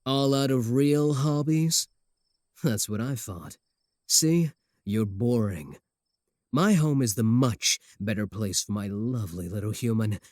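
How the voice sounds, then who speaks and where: A man's voice: medium pitch, lively intonation, slow, darkish tone, dry recording. A man playing a cocky, obsessive, easily offended werewolf in love, boasting earnestly and throwing dry sarcastic jabs in a comedic ASMR roleplay.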